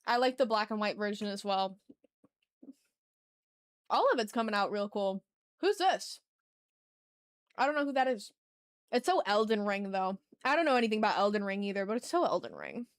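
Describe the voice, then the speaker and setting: A woman's voice: mid-range voice, big pitch swings, normal speed, long pauses, no echo, clean studio sound. A woman hosting an art livestream, chatting casually and slangily with her viewers into a sensitive microphone. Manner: slangy, informal. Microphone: sensitive ASMR microphone.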